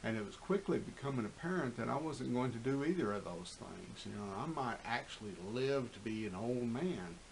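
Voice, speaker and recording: A man's voice: medium pitch, lively intonation, moderate pace, gravelly, few pauses, slight background noise, poor audio. A man in his fifties talking candidly to camera in a rambling, profane way, full of fillers and restarts. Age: 50s. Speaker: fitness vlogger. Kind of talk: casual monologue to camera.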